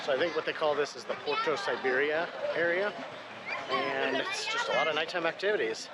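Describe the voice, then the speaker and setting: A man's voice: a little high, very animated, brisk. A young adult man, a father, chatting casually to camera as a travel vlogger. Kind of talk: casual vlog chat. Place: mostly outdoors in busy city spots. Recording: music and crowd noise behind voice. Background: music, crowd, street sounds.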